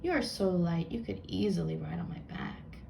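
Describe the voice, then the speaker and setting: A woman voicing a kindly mistle thrush in a storybook, gently reassuring and comforting. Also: fairly low pitch, normal speed, vocal fry, slight background noise.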